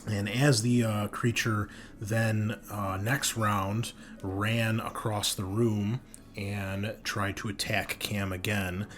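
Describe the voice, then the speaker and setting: A man's voice: lowish voice, level tone, takes it slowly, fairly bright tone. A man hosting as game master, recapping a tabletop session in an unscripted, matter-of-fact, explanatory way.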